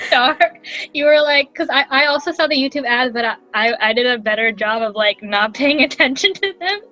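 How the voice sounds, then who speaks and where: A young woman's fairly high voice comes through a slightly dull recording. She co-hosts a casual chat with friends, playful and teasing, over faint music. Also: a little fast, pushed and bright. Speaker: podcast co-host.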